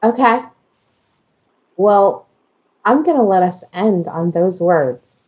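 A woman's voice: medium pitch, animated, slow pace, a little mellow, rough recording. A woman hosting a casual conversational discussion, speaking emphatically and repeating phrases for stress. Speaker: podcast host.

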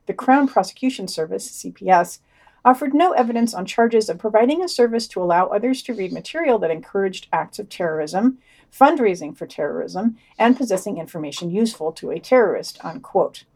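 A woman's voice: mid-range voice, fairly quick, low-quality recording. A woman reading news summaries for a podcast, quoting articles and adding dry, wry asides. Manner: deadpan, wry.

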